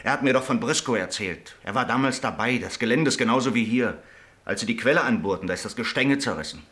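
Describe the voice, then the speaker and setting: Guy acting as young son, cocky and enthusiastic, medium pitch, classic radio play style. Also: fast, fairly bright tone, close to an everyday voice. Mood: excited. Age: young adult. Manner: breezy, eager. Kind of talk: German radio drama acting.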